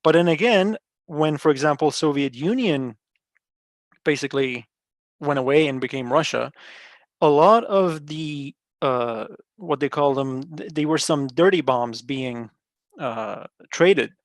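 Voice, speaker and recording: A young man from Iran who speaks English as a talks on a video call in a fairly high voice. He is hesitant, fills gaps with "um" and "uh", and stops to look for the right words. The sound is dry and close. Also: animated, measured pace, no echo. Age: 20s. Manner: hesitant, many fillers, searching for words. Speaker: meetup participant. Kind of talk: online group discussion.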